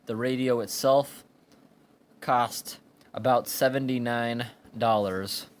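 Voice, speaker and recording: A man giving an informal hands-on product review in a plain, explanatory way with halting phrases, recorded indoors on a handheld camera. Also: mid-range voice, steady tone. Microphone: handheld camera or phone microphone. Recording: amateur recording with background room noise.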